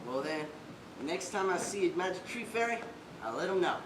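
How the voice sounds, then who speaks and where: Woman playing a low-voiced, stammering shy man. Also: lively intonation, moderate pace, lightly acted. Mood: nervous, kindly. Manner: stammering, nervous, folksy. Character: shy, bumbling, kindly man in gray necktie. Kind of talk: stage play acting. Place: stage in a roomy hall. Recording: distant, echoey, noisy stage recording.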